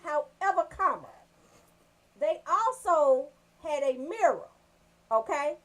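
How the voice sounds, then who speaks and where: A woman with a Southern US accent telling comedic stories as a radio host, brash, animated and freely swearing. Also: sits high, slow. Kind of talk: comedic storytelling on a casual talk show.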